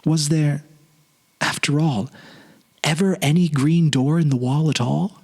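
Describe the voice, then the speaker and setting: A man giving a dramatic literary narration in a solemn, measured, pensive manner. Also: fairly high pitch, steady tone, a little mellow, slightly rough. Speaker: narrator, series producer and presenter.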